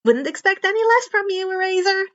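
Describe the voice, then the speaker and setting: A woman's voice: very high voice, lively intonation, a little fast, a bit bright, lo-fi sound, voice pushed up. A woman voicing an eager teenage boy vigilante in a story reading, youthful and a bit hesitant. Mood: cheeky, teasing.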